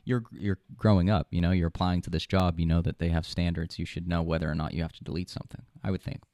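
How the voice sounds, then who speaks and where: A young man with an American accent, co-hosting a talk show with informal, opinionated, halting chat. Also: low-pitched, little pitch movement, dark tone, no echo, studio quality.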